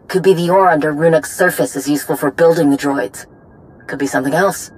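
Woman playing a blunt, low-voiced warrior woman. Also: close to an everyday voice, rough recording. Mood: serious, concerned. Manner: blunt, clipped, dry. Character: brisk, no-nonsense Mandalorian warrior woman, dry and proud. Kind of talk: video game cutscene acting.

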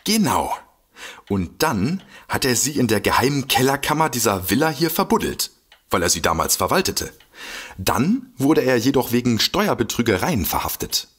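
Husky, excitable detective. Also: male voice, medium pitch, moderate pace. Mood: eager, insistent. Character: excitable, boastful male detective eager to explain. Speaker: amateur detective. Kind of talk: German comedy mystery audio drama acting.

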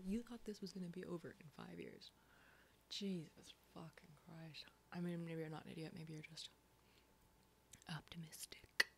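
A woman playing a foul-mouthed, sardonic apocalypse survivor in an ASMR horror roleplay, breathy, dry and often whispering. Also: fairly low pitch, a bit bright, a little hiss or hum. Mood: mocking. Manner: sweary, dry, unhurried.

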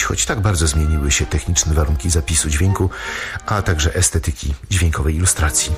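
A man giving measured, formal scripted narration as a documentary narrator. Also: sits low.

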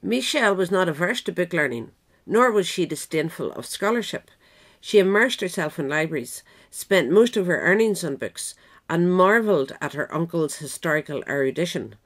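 A woman's voice: on the low side, animated, moderate pace. A woman narrator reading a scripted biographical essay aloud. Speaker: narrator, reader.

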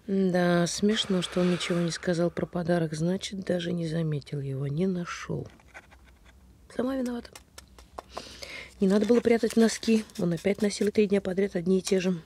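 An actress playing a hurt, sarcastic woman doubting her partner, with a husky voice and a wry, pointed delivery in a naturalistic audio drama. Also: lowish voice, normal speed, mellow, slight background noise, close to an everyday voice.

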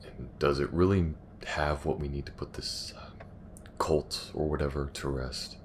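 Man acting as blunt skeptical detective, rock-bottom pitch, gravelly rasp, audio drama, noisy background. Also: steady tone, unhurried. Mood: skeptical, frustrated. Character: wary, skeptical male police detective.

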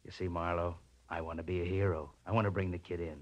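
An American actor playing a menacing, cocky heavy in a 1940s radio crime drama, sneering and slangy. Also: male voice, low voice, little pitch movement, quick pace, lo-fi sound. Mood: quietly menacing. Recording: vintage radio recording, faint noise.

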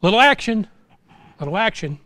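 A man's voice: high-pitched, very animated, normal speed, pushed and bright, dry recording. A middle-aged American man preaching an informal sermon in a folksy, exhortative way, full of rhetorical questions. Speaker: pastor preaching. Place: small church sanctuary, indoors.

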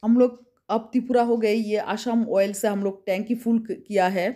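A woman's voice: medium pitch, steady tone, moderate pace, mellow. A woman travel vlogger from West Bengal chatting casually on a road trip, listing places and costs as she goes, recorded on a phone held at arm's length in a car and outdoors.

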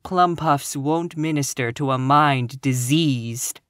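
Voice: normal speed, fairly bright tone, no echo, studio quality, lightly acted. A reader voicing Anne, a tearful, impulsive young woman teacher who talks in breathless, earnest bursts. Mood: sulky. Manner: breathless, sobbing, high and earnest.

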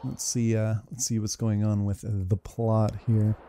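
A man's voice: fairly low pitch, little pitch movement, unhurried, warm tone, a little hiss or hum. A man in his thirties giving informal, rambling commentary on a game playthrough. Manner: informal, rambling, mild swearing. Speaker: gaming streamer. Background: game music.

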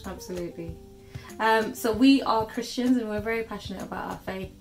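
A woman's voice: mid-range voice, a little fast, gravelly. A woman in her thirties, from Germany, co-hosting a channel introduction from a living room sofa in a friendly, lightly hesitant way. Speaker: channel co-host. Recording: light music underneath. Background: faint background music.

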